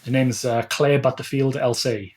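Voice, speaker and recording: A man's voice: mid-range voice, steady tone, normal speed, darkish tone. A man speaking as an author guest, giving casual, conversational interview answers. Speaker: podcast guest, self-published author.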